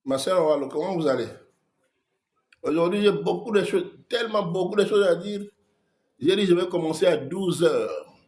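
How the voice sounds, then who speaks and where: A man's voice: sits high, lively intonation, moderate pace, a little mellow. A man with a Congolese French accent, a pastor with a cold, greeting viewers by name on a livestream before his sermon. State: has a cold, coughing.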